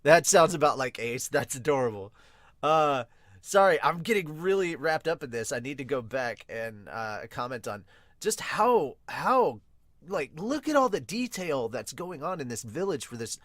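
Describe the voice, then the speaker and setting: A man hosting a casual manga reaction podcast, talking in an excitable, rambling way. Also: fairly high pitch, normal speed, dry recording, clean studio sound. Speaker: podcast host and manga reviewer. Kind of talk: casual reaction commentary with read-aloud.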